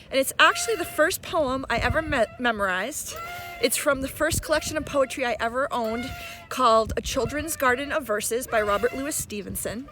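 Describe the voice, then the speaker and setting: A woman's voice: high voice, poor audio. A middle-aged woman speaking as an encouraging teacher in a casual video message to students, recorded outdoors in a park. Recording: outdoor park ambience noise.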